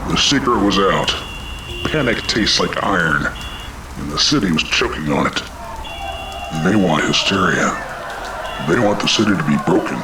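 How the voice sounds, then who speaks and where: A man playing a grim, world-weary dragon detective, terse and deadpan, in a noir radio play. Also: low voice, moderate pace, pauses often. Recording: echoey, noisy background.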